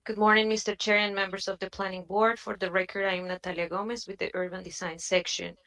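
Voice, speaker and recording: A woman with a mid-pitched, very even voice gives a formal staff presentation. Her delivery is scripted and procedural. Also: fast, poor audio.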